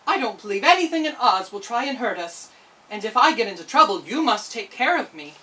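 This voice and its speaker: A woman's voice: on the high side, very animated, fairly quick, bright, forceful tone, far from the mic, poor audio. A woman voicing a plucky, polite little girl in a storybook reading. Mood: confident.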